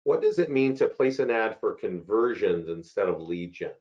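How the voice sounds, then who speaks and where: A man with a fairly low voice, hoarse from a cold, leads a casual live webinar, repeating points and answering viewers' questions. The sound is dull and lo-fi, like call audio from a computer mic. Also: expressive, moderate pace, a little mellow. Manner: chatty, repeats himself, answers chat questions. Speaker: webinar host. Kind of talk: live software webinar walkthrough.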